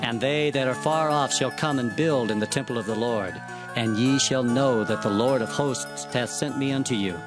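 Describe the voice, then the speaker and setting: A man playing a biblical prophet who recounts his visions in an earnest, measured, declamatory scripture narration. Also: medium pitch, music in the background, lightly acted. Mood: solemn.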